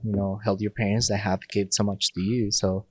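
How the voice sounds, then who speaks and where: A young adult Mexican-born priest talks easily in a dry studio, in a lowish voice. His English has a first-language flow, broken into short phrases, with frequent laughs. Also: fairly quick, breathy edge, no echo. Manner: relaxed, laughs often, speaks in short phrases. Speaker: priest, podcast guest. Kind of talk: casual interview talk.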